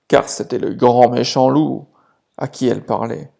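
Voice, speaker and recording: Slow, mellow man telling bedtime stories. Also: mid-range voice, vocal fry. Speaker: storyteller. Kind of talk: bilingual children's bedtime story narration.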